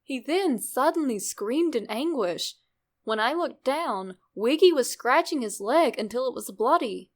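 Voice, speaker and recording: A woman reading a scripted creepypasta in an expressive storytelling way, with dramatic pauses and drawn-out words. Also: sits high. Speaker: narrator reading a horror story.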